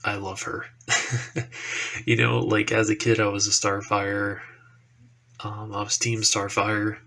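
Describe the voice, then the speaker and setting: A man's voice: on the low side, slow, creaky. A young man who says he is congested from allergies, talking casually and rambling on his own podcast, with lots of ums and swearing. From Texas. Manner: relaxed rambling with frequent ums and profanity. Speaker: action figure YouTuber. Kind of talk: casual solo podcast talk.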